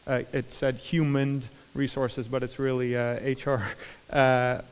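Keynote speaker, male, 40s, American accent, energetic with many "uh"s, mid pitch, long hall reverb. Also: a little slow, smooth. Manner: energetic, many fillers and restarts. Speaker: conference speaker. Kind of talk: keynote talk. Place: large conference hall stage. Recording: hall reverb from a large room.

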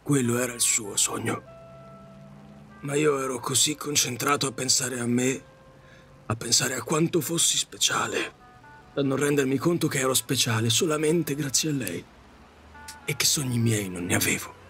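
A man playing a middle-aged widowed taxi driver in an audio drama, confessing his regrets in a halting, repetitive, self-reproaching way. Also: mid-range voice, fairly bright tone, faint music behind, natural voice. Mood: sorrowful. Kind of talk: Italian audio drama monologue.

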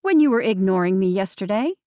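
A woman's voice: medium pitch, expressive, fairly quick, darkish tone, no room sound, clean studio sound, natural voice. A woman narrator voicing a blunt, busy young mother scolding her sister. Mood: sharp.

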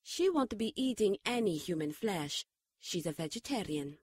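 A woman's voice: medium pitch, sing-song, normal speed, breathy edge, dry recording. A woman playing a protective pagan nun with a dry, challenging manner in a radio drama.

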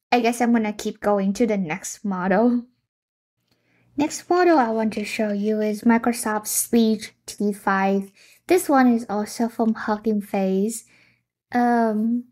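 A woman's voice: a little high, a little mellow. A young woman hosting a casual screen-share tutorial, thinking aloud and reacting to results as she goes. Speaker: tech tutorial host. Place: indoor room.

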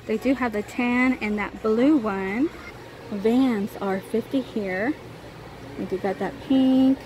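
A woman's voice: fairly high pitch, takes it slowly. An American woman giving a casual, chatty shopping walk-through as a vlogger, browsing inside a large store with a handheld camera. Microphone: handheld phone or camera held by the speaker. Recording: clear ambient store noise. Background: store ambience.

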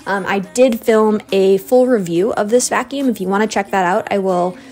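A woman's voice: mid-range voice, moderate pace, darkish tone. Young American woman narrating over music. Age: young adult. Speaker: cleaning vlogger. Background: background music.

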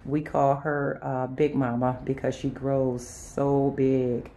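A woman vlogger talking casually to her viewers in a halting, unscripted way, often circling back on herself as she shows her house. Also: very deep voice, level tone, warm tone. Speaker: home and lifestyle vlogger. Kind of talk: casual chat to viewers during a house tour. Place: inside a house, walking between rooms and sun porch.

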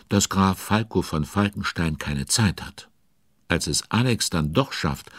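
A deep-voiced avuncular narrator of a children's radio play. His voice is husky and recorded very dry, and he tells the tale in a chatty, involved way. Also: dry recording. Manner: chatty, involved storyteller commenting on events. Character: avuncular, concerned male storyteller of a children's tale.

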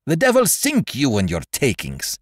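A man's voice: sits low, normal speed, natural voice. A man voicing a surly, hot-tempered sailor in an audiobook sea adventure, growling and cursing. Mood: furious.

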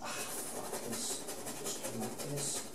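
Norwegian-accented painter, middle-aged; rambling restarts, casual swearing; distant camera mic, faint room hiss. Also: male voice, slow, a bit bright, roomy sound. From Norway. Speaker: painting teacher. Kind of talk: painting demonstration. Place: painting studio, indoors.

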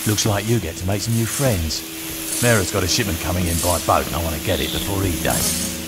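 An actor playing a ruthless, dry-witted street gang boss in a video game, terse, sardonic and menacing. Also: male voice, low-pitched, brisk, loud music behind the voice, close to an everyday voice. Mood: dry, commanding. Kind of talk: video game cutscene acting.

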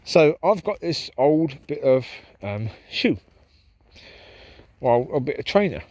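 A man's voice: fairly high pitch, big pitch swings, slow pace, darkish tone, no room sound. A man talking casually to camera as he walks his dogs through a park at night, reflective and rambling. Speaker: vlogger walking his dogs. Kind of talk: casual walk-and-talk monologue. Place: park path at night, outdoors. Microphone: handheld camera while walking.

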